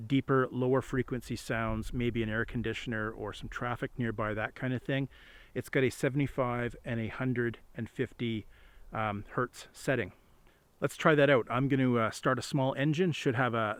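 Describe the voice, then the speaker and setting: A man's voice: a little high, bright, forceful tone, a little hiss or hum. A plain-spoken man explaining gear in a casual review to camera, recorded outdoors on an on-camera microphone. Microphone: on-camera shotgun microphone.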